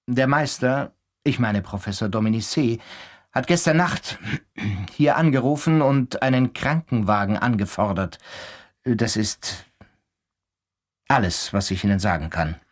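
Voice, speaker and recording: An actor playing a fussy, dignified hospital doctor in a German radio play, formal and touchy, often clearing his throat. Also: fairly low pitch, unhurried, pauses often, lightly acted.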